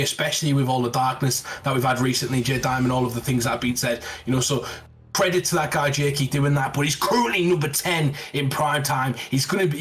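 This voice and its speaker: Quick-talking guy co-casting a game fight, lots of oh and wow. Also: mid-range voice. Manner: fast, animated exclamations. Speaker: esports co-commentator. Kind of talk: live game commentary.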